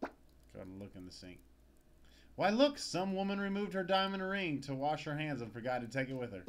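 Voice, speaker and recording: A man's voice: fairly high pitch, very animated, normal speed, a little hiss or hum. A man doing a casual, sardonic, sweary playthrough, reading game text aloud. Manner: foul-mouthed, sardonic. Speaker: video game let's player. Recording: game music behind the voice. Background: video game music.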